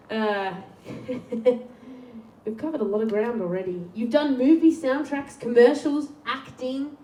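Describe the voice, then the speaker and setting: A woman's voice: on the high side, mellow. A woman in her thirties from Tasmania, hosting a live interview in a bubbly, teasing way and laughing often. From Tasmania, Australia. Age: 30s. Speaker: host, songwriter. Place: community venue before an audience. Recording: roomy, distant live hall mic with faint noise.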